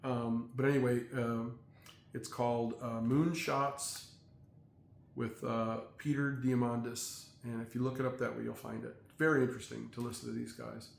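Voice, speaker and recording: A middle-aged man talking in a casual, rambling monologue, halting with many fillers and restarts. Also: mid-range voice, little pitch movement, gravelly, a little hiss or hum. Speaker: vlogger. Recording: echoey room, faint ambience.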